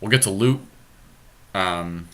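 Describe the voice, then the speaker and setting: Low, bright-voiced man talking through gameplay. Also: slow. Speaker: podcast host playing a card game. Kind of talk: casual gameplay commentary, thinking aloud.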